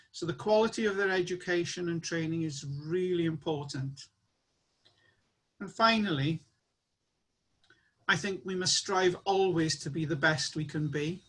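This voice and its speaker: A man's voice: fairly high pitch, unhurried, a bit bright. A man with a slightly raised voice chairs an online annual meeting. He speaks in an earnest, encouraging way as he wraps up. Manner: earnest, rallying closing remarks.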